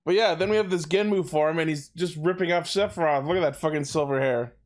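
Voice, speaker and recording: A man's voice: fairly high pitch, fast. A man co-hosting a podcast, chatting casually about fandom news and swearing freely. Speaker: podcast co-host.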